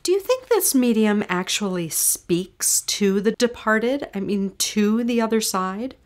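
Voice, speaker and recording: A woman's voice: mid-range voice, expressive, a little slow, lightly acted. An actress playing a haughty, sardonic wealthy woman in an old-time radio drama, with a theatrical, imperious delivery. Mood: imperious, probing.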